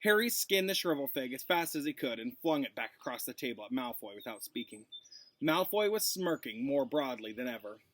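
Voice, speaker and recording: A man, a teacher, reading a storybook aloud in an expressive narrating style. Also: mid-range voice, brisk.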